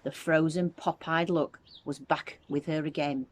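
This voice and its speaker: A woman reading a children's story aloud as a teacher would, with expressive narration. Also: low voice, measured pace, a little hiss or hum.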